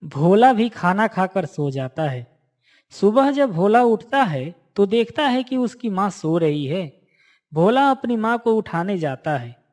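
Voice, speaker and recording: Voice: medium pitch, sing-song, takes it slowly, darkish tone. A narrator reading a scripted moral story for a cartoon in a storytelling style.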